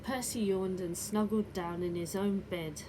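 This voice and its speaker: A woman reading a children's bedtime story expressively, with deliberate dramatic emphasis. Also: mid-range voice, steady tone. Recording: clear background noise.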